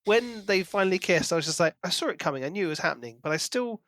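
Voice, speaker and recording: Higher-pitched man with very animated intonation. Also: fast talker, no room sound, studio quality. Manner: questioning, conversational. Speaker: podcast co-host. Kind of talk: casual book discussion.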